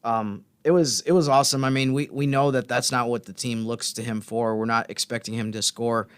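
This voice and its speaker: Man, mid-pitched voice, casual sports podcast chat, team reporter, very little room sound. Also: fairly even intonation, a little fast, clean tone, no echo. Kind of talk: casual basketball talk.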